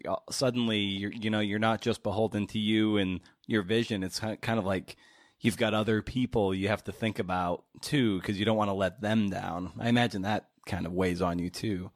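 A man hosting a conversational interview podcast, speaking haltingly with repeated words and long, winding questions. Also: on the low side, no room sound, clean studio sound.

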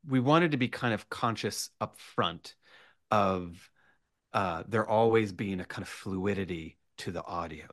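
Low-voiced man, rambling podcast guest. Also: dry recording, studio quality. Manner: animated, rambling, hesitant, self-correcting. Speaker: podcast guest, horror writer. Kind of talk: casual interview answer.

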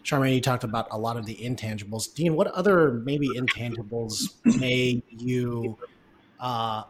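A man's voice: mid-range voice, measured pace, noisy background. A man hosting a podcast, affirming his guests and asking them questions in an upbeat way. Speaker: podcast host.

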